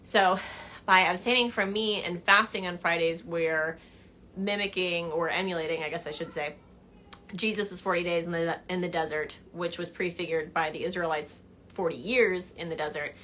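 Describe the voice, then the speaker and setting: A young woman answering viewer questions in a casual livestream chat from her living room couch, animated and chatty. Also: lowish voice, moderate pace, a little hiss or hum. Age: young adult. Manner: chatty and animated, gestures a lot, laughs while telling stories. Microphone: phone camera at arm's length.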